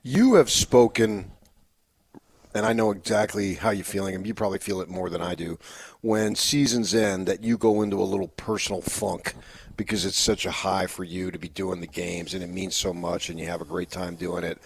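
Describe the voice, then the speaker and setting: Radio cohost guy, kinda low and husky, lots of gravel, chatty questions. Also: steady tone, normal speed, no room sound. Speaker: sports radio co-host. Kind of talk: conversational interviewing.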